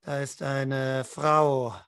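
A man's voice: mid-range voice, little pitch movement, very slow, fairly bright tone, smooth, no echo, studio quality. A man leading a tabletop role-playing game as game master, explaining and narrating. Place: indoor room at home, online video call.

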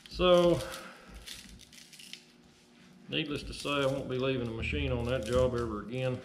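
Middle-aged tradesman, casual self-deprecating ramble, ordinary pitch, body-mounted camera mic in a noisy workshop. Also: steady tone, fairly quick. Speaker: excavation business owner. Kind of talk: casual talk while working. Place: metal workshop, indoors.

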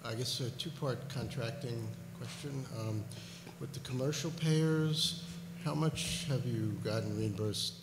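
A man's voice: mid-range voice, a little slow. Man asking a question in an echoey hall. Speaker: audience member asking a question. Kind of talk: audience question at a conference. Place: large conference hall, at floor microphone. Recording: echoey hall, audible hum.

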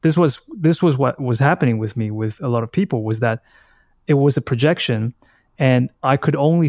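Thoughtful, halting Taiwanese-speaking man in his thirties. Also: medium pitch, a little mellow, no echo, studio quality. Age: 30s. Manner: halting, thoughtful, circles back to rephrase. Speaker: podcast guest, artist. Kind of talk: reflective interview conversation.

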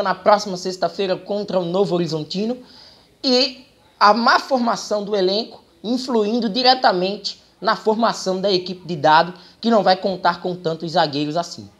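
Young Brazilian man commenting on football. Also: high-pitched. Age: young adult. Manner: explanatory, frequent "né" tags. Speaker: football commentator and channel host. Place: small room indoors.

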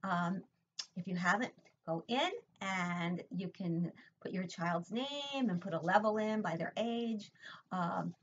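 A woman's voice: lowish voice, measured pace, a little hiss or hum. Older woman teacher, sing-song webcam lesson. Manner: sing-song, asks children questions and praises answers. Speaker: preschool teacher. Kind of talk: online preschool lesson and story reading. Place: home room, indoors. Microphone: webcam laptop mic. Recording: dull lo-fi webcam audio.

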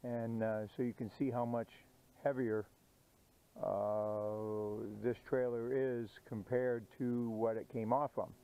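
A middle-aged man casually explaining a welding project outdoors, slow and halting with many "uh" pauses. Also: medium pitch, flat delivery, smooth, phone-quality sound. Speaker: DIY channel host. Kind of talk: casual how-to narration. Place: outdoors on a grassy yard.

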